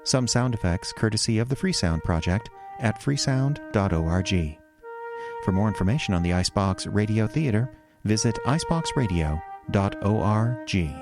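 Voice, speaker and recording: A man's voice: lowish voice, lively intonation, moderate pace. A man announcing as a radio drama host, reading scripted credits over music.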